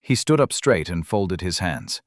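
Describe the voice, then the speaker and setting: A man's voice: sits low, big pitch swings, unhurried, dry recording, studio quality. A man narrating a scripted fantasy story as an audiobook narrator.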